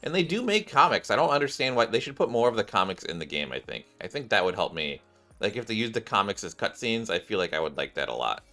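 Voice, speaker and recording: A man doing casual game-stream commentary in an animated way, thinking aloud and sometimes reading on-screen text. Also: on the low side, quick pace. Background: game music.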